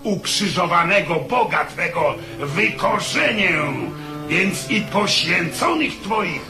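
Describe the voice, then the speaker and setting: A man's voice: high-pitched, lively intonation, slow pace. An actor playing a grandiose, threatening Ottoman sultan proclaiming war in a radio play. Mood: menacing. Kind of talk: declamatory radio drama acting. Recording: echoey, music behind the voice.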